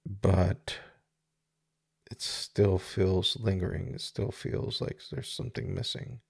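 Slow, gravelly-voiced man in his forties. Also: sits low, fairly even intonation, a little mellow. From Dominican Republic. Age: 40s. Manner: halting and searching, with many pauses and restarts. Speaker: podcast host. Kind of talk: reflective solo podcast monologue. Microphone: studio microphone with mixer.

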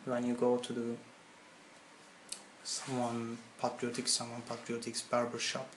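Young man, Turkish accent, non-native English, halting interview answers, roomy echo. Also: mid-range voice, completely flat delivery. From Turkey. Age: young adult. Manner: halting, searching for words in English. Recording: echoey room with faint ambient noise.

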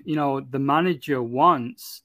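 A man's voice: medium pitch, measured pace, pushed and bright, no echo, studio quality. A man from Manchester, chatting casually about football, rambling with many fillers and restarts. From Manchester, England. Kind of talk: casual chat.

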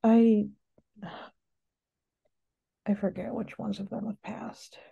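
A woman's voice: a little high, little pitch movement, takes it slowly, gravelly, mellow, husky, highs cut off. A woman co-hosting a podcast in casual, rambling, frank chat, sounding a little sniffly. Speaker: podcast co-host.

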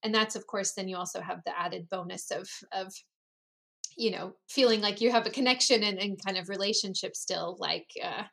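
A woman's voice: mid-range voice, moderate pace, creaky, bright, forceful tone. Chatty woman, casual talk. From United States. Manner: chatty, loose, with many fillers. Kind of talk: casual conversation.

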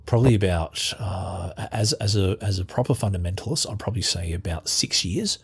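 An Australian man hosting a casual interview, talking in a halting way with many restarts as he searches for words. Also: deep voice, creaky, husky.

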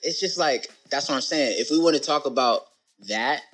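A man as a podcast guest talking in casual conversation, animated and rambling, with lots of "like" and "you know". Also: fairly high pitch, normal speed, faint music behind. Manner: animated, rambling, says "like" a lot.

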